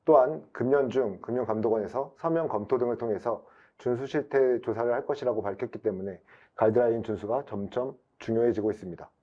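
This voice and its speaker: A man's voice: medium pitch, quick pace, few pauses, warm tone, muffled recording. A man giving a formal, explanatory prepared webinar presentation as an IT specialist.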